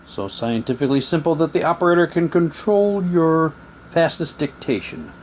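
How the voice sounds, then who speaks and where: Guy reading stuff out loud for an unboxing, kinda halting, mutters little asides, some noise behind him. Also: on the high side, expressive. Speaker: unboxing presenter. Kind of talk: casual unboxing, reading old newspaper props aloud.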